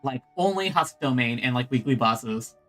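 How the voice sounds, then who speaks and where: A man giving casual live gameplay commentary, halting and often repeating his phrases. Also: mid-range voice, expressive, fairly bright tone, faint music behind. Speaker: game streamer.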